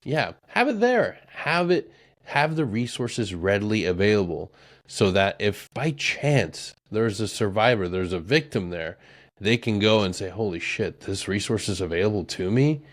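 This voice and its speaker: A man hosting a podcast in casual conversation, talking bluntly with some swearing and getting heated on points he cares about. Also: fairly low pitch. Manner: blunt, profane, animated when riled. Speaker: podcast host. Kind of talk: casual interview chat.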